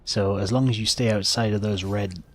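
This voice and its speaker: A man with a low voice hosts a gaming video. He explains the rules in a plain-spoken tutorial style, step by step. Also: fairly even intonation, normal speed. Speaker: gaming channel host. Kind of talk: game tutorial commentary.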